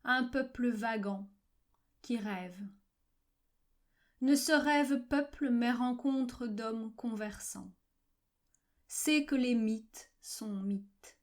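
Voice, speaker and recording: A woman reciting literary poetry in measured, fragmented phrases with frequent pauses, at times breathy and near-whispered. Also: fairly high pitch. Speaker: poetry reader. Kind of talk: slow literary poetry recitation for a video poem.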